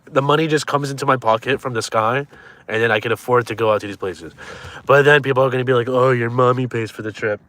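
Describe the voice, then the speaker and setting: A man's voice: medium pitch, fast, a bit bright. A man in his twenties chatting casually on a live stream, joking and teasing viewers as he reads their comments. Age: 20s.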